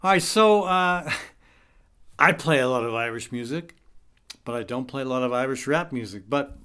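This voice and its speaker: A man's voice: mid-range voice, lively intonation, moderate pace, sharp tone, clean tone. A man hosting a radio talk show, chatting casually and rambling with many fillers and restarts.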